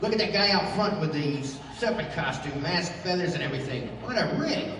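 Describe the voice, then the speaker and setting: A man's voice: fairly high pitch, expressive, a little fast, background noise, close to an everyday voice. A man playing a slangy, blustering, wisecracking adventurer sidekick in a 1930s-style radio play. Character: gruff, excitable, wisecracking male adventurer sidekick. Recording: very echoey hall, far from mic.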